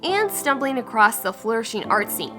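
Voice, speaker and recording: Excited woman travel vlogger. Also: fairly high pitch, normal speed, over loud music. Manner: excited, exclaiming. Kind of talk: casual travel narration.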